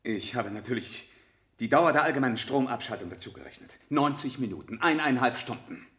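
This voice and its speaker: An actor playing a nervous, evasive accused murderer in a 1970 German radio drama, halting and defensive. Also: male voice, mid-range voice, animated, a bit bright, lightly acted.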